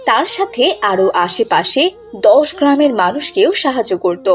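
A woman's voice: high-pitched, lively intonation, normal speed. A woman narrating a scripted children's cartoon story.

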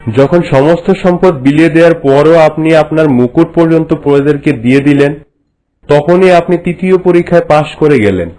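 A man's voice: a little high, a little fast, dark tone, natural voice, low-quality recording. A man playing a reverent royal courtier in a cartoon, explaining things formally and respectfully to his queen. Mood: respectful, solemn. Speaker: storytelling courtier. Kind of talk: scripted cartoon dialogue in formal narration. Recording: music bed under the voice. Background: music.

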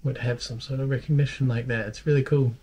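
Low-key man around thirty, chatting casually. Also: fairly even intonation, fast, warm tone, slightly rough. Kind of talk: casual chat. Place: living room and outdoor cafe. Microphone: camera at arm's length. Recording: handheld camera with faint background noise.

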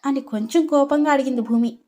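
A woman's voice: high-pitched, brisk, warm tone. A woman storyteller reading a scripted domestic story as narrator.

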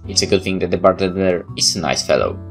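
Low-voiced man narrating a visual novel. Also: fast. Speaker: let's play host and narrator. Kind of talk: reading a visual novel aloud with asides. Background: game music.

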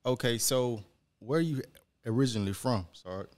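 A man's voice: medium pitch, moderate pace, darkish tone. A man hosting a military podcast, asking questions in a loose, casual way at a desk mic in a small studio. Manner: laid-back conversational questions. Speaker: podcast host. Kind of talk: casual interview. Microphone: desk mic on boom, headphones.

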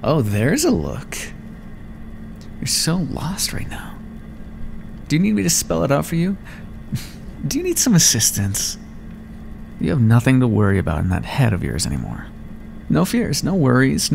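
Man acting a creaky-voiced, condescending vampire experimenter. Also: medium pitch, a little mellow, a little hiss or hum. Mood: smug, teasing. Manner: condescending, teasing, musing aloud. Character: clinical, smug male vampire experimenter toying with captive. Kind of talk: ASMR roleplay, intimate scripted monologue.

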